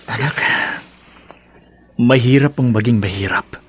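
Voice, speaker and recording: A man's voice: mid-range voice, a little slow, a little hiss or hum, natural voice. An actor playing a weary, poor middle-aged father in a radio drama, plaintive and bitter but loving.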